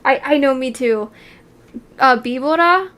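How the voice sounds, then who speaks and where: A young woman chatting through a music review, excitable and tripping over her words as she goes. Her fairly high voice is wearing thin from illness. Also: a little slow. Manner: excitable, repeats words, lots of fillers. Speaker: co-host of music review show. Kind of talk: casual chat reviewing music videos.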